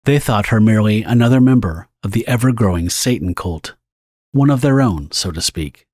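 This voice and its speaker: Husky, low-voiced male narrator. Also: lively intonation, normal speed, a little mellow, no room sound, studio quality. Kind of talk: scripted dramatic story narration.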